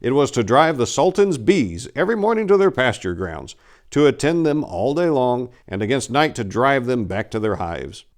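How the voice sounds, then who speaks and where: Man playing a theatrical, boastful gentleman adventurer with lively pitch. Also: moderate pace, natural voice. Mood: grandiose, earnest. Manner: theatrical, grandiose storytelling. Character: boastful gentleman adventurer baron telling tall tales. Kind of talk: dramatic story reading.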